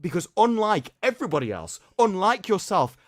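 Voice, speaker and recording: A man with a fairly high, very animated voice delivers mocking commentary. He is sarcastic and theatrical, stressing his words like a dramatic reader. The sound is dry, with no room on it. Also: moderate pace, no room sound. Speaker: streamer host.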